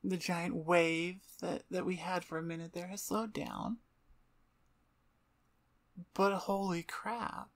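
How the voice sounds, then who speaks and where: A woman's voice: on the low side, steady tone, slow pace, long pauses. A woman chatting informally and self-deprecatingly as channel host, rambling casually close to her microphone. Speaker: ASMR voice performer, channel host. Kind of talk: casual rambling outro chat. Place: on the floor in front of a computer desk. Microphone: microphone about twelve inches away at eye level.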